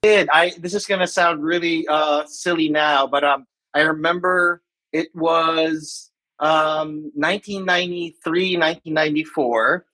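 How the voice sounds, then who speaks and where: A man in his forties from the Philippines, talking casually as an interview guest and often pausing to reflect. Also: fairly high pitch, fairly even intonation, bright, forceful tone, low-quality recording. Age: 40s. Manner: reflective, with many fillers and restarts.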